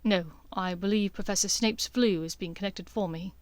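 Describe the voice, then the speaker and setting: A woman's voice: medium pitch, normal speed, no room sound, lightly acted. A woman voicing a guarded aristocratic teenage wizard boy, dry and restrained in manner.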